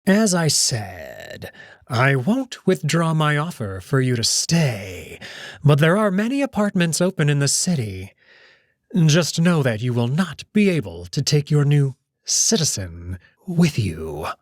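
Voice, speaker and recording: Man voicing a slow, menacing old wolf aristocrat. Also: fairly high pitch, very animated, no room sound, clean studio sound, voice pushed up. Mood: cold, polite. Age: older. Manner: measured, condescending, formally polite menace. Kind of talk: dramatized visual novel reading.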